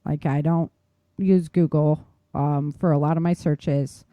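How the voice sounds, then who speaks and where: A woman's voice: low-pitched, fairly even intonation, unhurried, no room sound, muffled recording. Emphatic woman podcaster, dry close sound. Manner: emphatic, opinionated. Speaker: podcast co-host. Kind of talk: casual conversational podcast chat.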